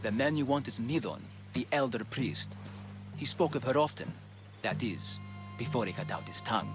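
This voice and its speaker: An actor playing a calm, grave sanctuary priest in a video game, speaking in a measured, solemn way. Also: male voice, mid-range voice, over music, close to an everyday voice. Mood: grave, resigned. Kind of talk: video game dramatic dialogue.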